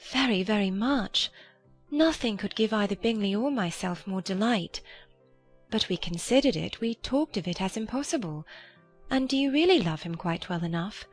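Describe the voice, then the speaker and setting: A woman playing a gentle, earnest, affectionate young gentlewoman in a dramatized period audiobook. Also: mid-range voice, animated, normal speed, faint music behind, natural voice. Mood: earnest concern. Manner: earnest, tender.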